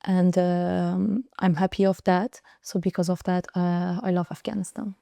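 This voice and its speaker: A young woman in her twenties from Iran, a guest telling her story in an interview, speaking earnestly with many pauses and hesitations. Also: on the low side, level tone, gravelly, mellow, dry recording, clean studio sound. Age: 20s. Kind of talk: personal interview in French and English.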